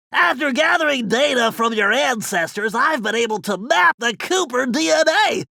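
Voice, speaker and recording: A brainy cartoon turtle, the anxious tech whiz of a video game crew. The voice is very high and nasal with a creaky edge, swinging animatedly in pitch. The delivery is precise and pedantic, recorded close and dry. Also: male voice, pushed and bright, dry recording, exaggerated voice.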